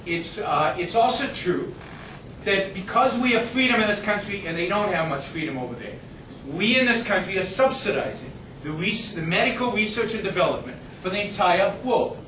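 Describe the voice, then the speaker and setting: A man's voice: sits high, expressive, moderate pace, fairly bright tone. A middle-aged man from Israel, a guest speaker answering audience questions in an emphatic, argumentative way with frequent restarts. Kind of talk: impassioned lecture Q&A. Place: university lecture hall. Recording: echoey noisy hall from far off.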